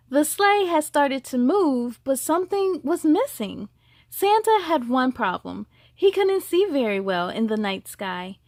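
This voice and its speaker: A woman hosting a children's story time, reading expressively with animated asides to young listeners. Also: high voice, no echo, studio quality. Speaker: host of children's read-aloud story time.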